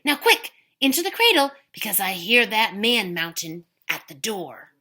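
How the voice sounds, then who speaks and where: A crafty giant's wife who hides her cleverness behind honeyed, coaxing words, as a woman storyteller plays her. Her voice is bright and lilting, rising and falling sweetly. A storybook heroine who outwits with charm. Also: mid-range voice, big pitch swings, normal speed. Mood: sweetly scheming. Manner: sugary, coaxing.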